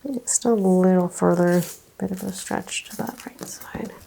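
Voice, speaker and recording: A soft-spoken woman, often slipping into whispers, narrates a hands-on treatment as a chiropractor in an ASMR roleplay. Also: fairly low pitch, unhurried, gravelly. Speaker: ASMR performer playing a chiropractor.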